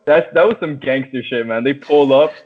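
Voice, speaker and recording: Male podcast host, mid-pitched, slangy and sweary, informal film chat, narrow telephone-like audio. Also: a little fast. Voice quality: slangy, profane. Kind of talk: casual chat, film discussion. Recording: tinny phone-like sound.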